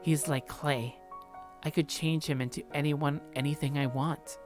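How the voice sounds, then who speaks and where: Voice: medium pitch, steady tone, measured pace, faint music behind, lightly acted. A performer voicing a clingy, possessive young woman from a visual novel in a sweet, coaxing manner. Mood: obsessive, gleeful.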